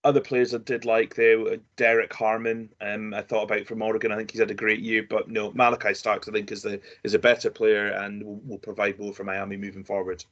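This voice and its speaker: Hesitant low-voiced man, lo-fi sound. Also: flat delivery, brisk, few pauses, a bit bright. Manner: hesitant, many ums, laughs easily. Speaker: draft analyst, co-host. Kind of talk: casual panel discussion. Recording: dull lo-fi audio.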